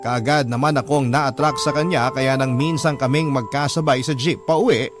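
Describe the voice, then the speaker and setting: A man's voice: mid-range voice, steady tone, normal speed. A man narrating a letter in the first person as a scripted radio drama story. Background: instrumental music.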